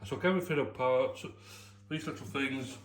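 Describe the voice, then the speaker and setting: A British man explaining his restoration work in a halting, matter-of-fact, colloquial way. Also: medium pitch. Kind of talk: casual hands-on explanation. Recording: roomy, off-mic, background hum and noise.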